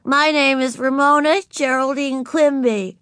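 A woman voicing a bold, loud, stubborn little girl of four, in a high childlike voice. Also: fairly even intonation, measured pace, no echo, studio quality. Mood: proud.

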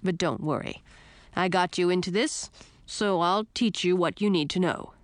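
A woman voicing a self-assured, teasing male frog from a storybook, impatient and boastful in a brisk manner. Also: lowish voice, dry recording, lightly acted. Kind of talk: audiobook character acting.

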